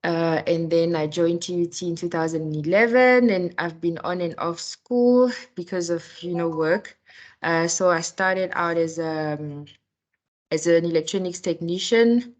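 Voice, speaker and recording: Low-voiced woman from Cameroon. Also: measured pace, a little mellow, lo-fi sound. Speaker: student. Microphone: video call.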